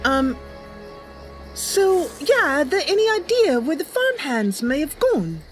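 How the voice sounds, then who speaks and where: High, animated, chatty woman streamer. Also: slow pace, soft background music. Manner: bubbly, giggly, chatty. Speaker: livestreamer, roleplaying gamer. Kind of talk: casual live stream chat with improvised roleplay.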